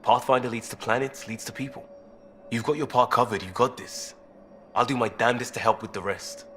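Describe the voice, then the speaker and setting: Guy doing a loyal young game crewman, plain talking, ordinary pitch, faint noise behind. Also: brisk, a bit bright, natural voice. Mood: earnest, resolute. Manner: plain-spoken, informal. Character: earnest, loyal young male crisis-response crewman. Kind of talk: video game cutscene acting.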